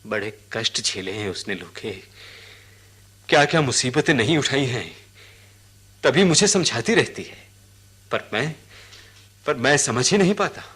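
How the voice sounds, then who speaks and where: An actor in a radio drama playing a simple, troubled elephant-catcher, plaintive and halting, often repeating his phrases. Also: mid-range voice, lively intonation, a bit bright, breathy edge. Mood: sorrowful.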